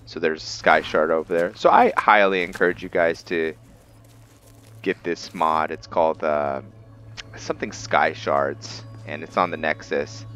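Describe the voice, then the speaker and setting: A man giving casual let's-play commentary and roleplay narration in a halting, musing way, often correcting himself. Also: very deep voice, a bit bright. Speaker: host of a roleplay gaming series.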